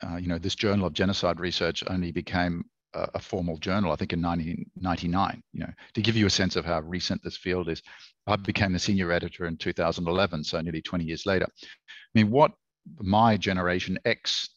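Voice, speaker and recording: A very low-voiced Australian man speaks in a thoughtful academic conversation, pausing on fillers and restarting phrases as he works through ideas. The recording is dry and slightly dull, like a lo-fi online call. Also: steady tone, no echo. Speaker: author and historian guest.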